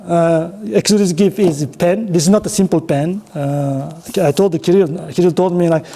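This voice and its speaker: High-voiced, mellow Uzbek-accented man presenting. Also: vocal fry. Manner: halting, choppy non-native English. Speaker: conference speaker running an audience networking game. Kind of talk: informal interactive presentation to an audience.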